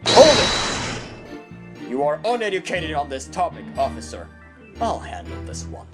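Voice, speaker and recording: A man plays a haughty cartoon bird prosecutor in an audio drama, with a pompous, theatrical delivery that swings widely in pitch. He talks down to everyone in the courtroom, over clearly audible music. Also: huge pitch swings, a little slow. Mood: condescending. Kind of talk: fan audio drama acting.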